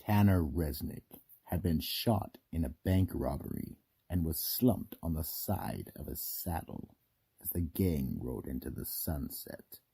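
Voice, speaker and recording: A man narrating a scripted radio mystery drama in a theatrical, deliberate storytelling manner. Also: very deep voice, gravelly, mellow, slightly rough, dry recording. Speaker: narrator and host.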